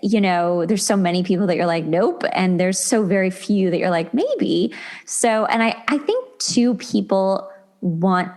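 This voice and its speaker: A woman's voice: medium pitch, lively intonation, unhurried, darkish tone. An enthusiastic woman in middle age hosts a casual interview podcast. She speaks in an effusive, conversational way, with an ordinary mid-range voice. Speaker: podcast host.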